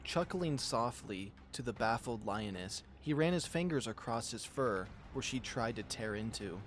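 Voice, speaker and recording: A man with a middle-pitched voice hosts a visual novel reading. He narrates like a storyteller and also comments casually in his own words. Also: animated, moderate pace, faint music behind. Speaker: visual novel reader and channel host.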